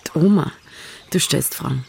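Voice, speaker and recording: A woman's voice: sits low, moderate pace, creaky, a little mellow, breathy edge, heavily acted. An actress playing a blunt, earthy young village woman with a Bavarian accent in a radio drama, dry and plain-spoken. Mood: reflective, blunt. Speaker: lead actor and narrator. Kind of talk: radio drama narration and dialogue.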